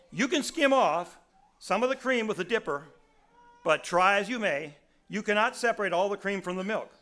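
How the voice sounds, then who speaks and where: A man in his sixties with a raspy voice, preaching a sermon in a folksy storytelling way with many restarts. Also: high-pitched, sharp tone.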